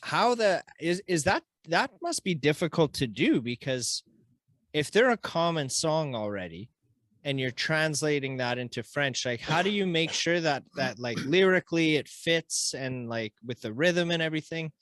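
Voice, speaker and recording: A man's voice: a little high, lively intonation, normal speed, a bit bright, no room sound. A man hosting a podcast, chatting casually and rambling with lots of fillers. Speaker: podcast host. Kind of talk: casual chat.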